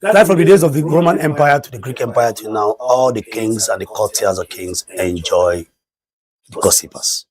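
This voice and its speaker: A middle-aged Nigerian man with a Nigerian English accent and a loud voice, talking emphatically in a studio discussion. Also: mid-range voice, normal speed. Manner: emphatic, excitable, rises sharply when stressing points. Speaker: discussion panelist.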